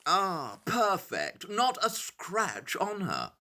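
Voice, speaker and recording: Superior English detective for audio drama: crisp, sardonic, forceful, wildly varied pitch. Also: male voice, wildly swooping pitch, extremely slow, heavily acted. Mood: brisk, sardonic. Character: brilliant, condescending English detective, brisk and sardonic.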